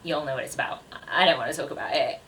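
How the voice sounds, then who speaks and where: A woman's voice: sits low, racing, fairly bright tone, far from the mic, a little hiss or hum. A woman in her twenties chatting casually to camera as a book vlogger, with a self-deprecating, chatty manner. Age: 20s.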